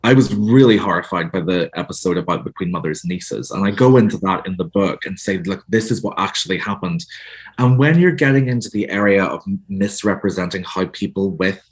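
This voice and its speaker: Brief: Northern Irish male author, lower voice, thoughtful, halting restarts. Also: low-quality recording. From Northern Ireland. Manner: thoughtful, hesitant, frequent restarts. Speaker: author guest. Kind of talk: casual interview chat.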